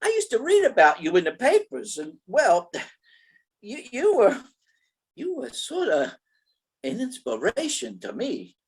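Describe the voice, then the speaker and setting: A woman's voice: on the high side, huge pitch swings, breathy edge, full character voice, rough recording. A woman playing a dim, insecure gangster gunman in a radio play, hesitant and stammering. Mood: awed, smitten. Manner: hesitant, stammering, slow-witted. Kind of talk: 1940s radio comedy-thriller acting.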